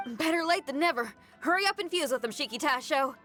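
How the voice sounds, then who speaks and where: A woman playing a spirited, friendly young street musician in a video game, with a folksy, earnest, energetic manner. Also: high voice, brisk, faint music behind. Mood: urging. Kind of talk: video game cutscene acting.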